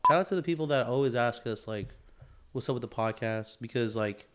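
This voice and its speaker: A man's voice: medium pitch, a little fast, heavy background noise. A young man co-hosting a podcast, talking casually and rambling with lots of filler words and swearing. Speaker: podcast co-host. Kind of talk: casual chat.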